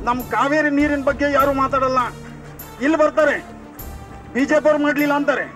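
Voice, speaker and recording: A man's voice: extremely high pitch, steady tone, a little fast, fairly bright tone, faint music behind. A man, a member of the public giving an impromptu political opinion.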